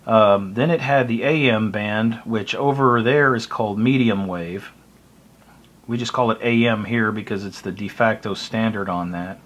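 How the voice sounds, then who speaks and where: A man's voice: on the low side, moderate pace, fairly bright tone, dull audio. A middle-aged man with an American accent gives a casual, conversational, rambling explanation to camera, recorded indoors close to the mic. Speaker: hobbyist vlogger. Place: small room indoors by a curtained window.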